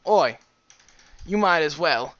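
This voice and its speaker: A man's voice: a little high, expressive, slow pace, fairly bright tone, highs cut off, voice pushed up. A man voicing a fierce, suspicious griffin mercenary with a growling, threatening delivery in a storybook reading. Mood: irritated, demanding. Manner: threatening, with an accent remarked on.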